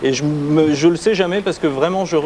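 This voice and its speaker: A man's voice: fairly high pitch, fairly quick, darkish tone. Hesitant man interviewed on TV. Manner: hesitant, many restarts and fillers. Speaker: guest photographer. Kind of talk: casual TV interview. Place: pink TV studio set. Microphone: lapel mic.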